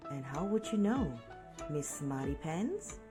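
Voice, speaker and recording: A woman voicing a calm, wry mother in a storybook, measured and gently firm. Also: deep voice, music in the background, exaggerated voice.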